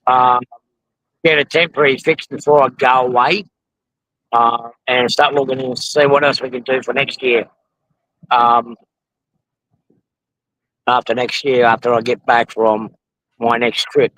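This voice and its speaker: Hoarse Australian man rambling on-site. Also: medium pitch, little pitch movement, measured pace, pauses often, fairly bright tone, dry recording, low-quality recording. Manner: rambling, frequent ums and restarts. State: losing his voice from the heat. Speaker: model railroading channel host. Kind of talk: casual live commentary. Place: outdoors at a roadside truck convoy.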